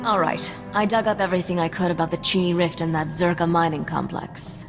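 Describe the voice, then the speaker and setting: A woman's voice: fairly low pitch, steady tone, a little fast, long reverb tail, over music, lightly acted. An actress playing a shrewd, self-assured woman mechanic among space smugglers, with a dry, sardonic manner, in a video game. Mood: cool, focused. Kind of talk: video game cutscene acting.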